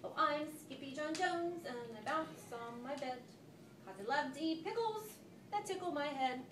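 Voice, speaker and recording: Woman as an excitable, high-pitched storybook boy kitten. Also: normal speed, close to an everyday voice. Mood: gleeful chanting. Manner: theatrical, exclaiming, sing-song chanting. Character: excitable boastful little boy kitten playing chihuahua adventurer. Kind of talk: children's storybook read aloud. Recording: roomy, noisy classroom recording from far off.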